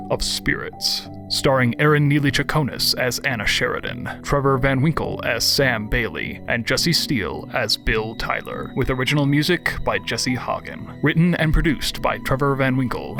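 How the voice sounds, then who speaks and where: A man reading scripted podcast credits as an announcer, in a measured delivery. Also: medium pitch. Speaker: show creator and announcer. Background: clear music.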